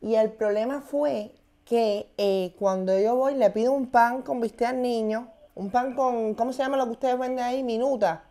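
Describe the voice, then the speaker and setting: A man's voice: very high-pitched, fairly even intonation, moderate pace, dark tone. Man putting on a brazen, drawling mother. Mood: complaining. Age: young adult.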